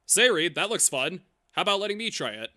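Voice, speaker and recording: A man plays an eager young comic-book superhero in a dub. The voice is high and bright, bouncing around in pitch with exclamatory energy. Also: very animated, a little fast, exaggerated voice. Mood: eager, excited.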